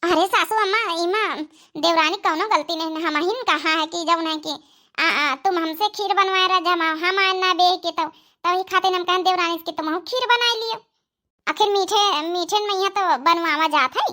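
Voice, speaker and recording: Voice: extremely high pitch, moderate pace, sharp tone. A performer voicing a meek, apologetic young village daughter-in-law in an Awadhi comedy cartoon, deferential and earnest. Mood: explaining. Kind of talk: rustic comedy cartoon dialogue.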